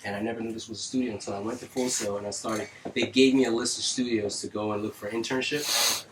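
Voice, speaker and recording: A middle-aged man from North Miami telling stories in a casual studio interview, animated and full of street slang and cursing. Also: on the low side, fast talker. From North Miami, Florida. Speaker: music engineer guest. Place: recording studio. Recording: distant mic, faint noise.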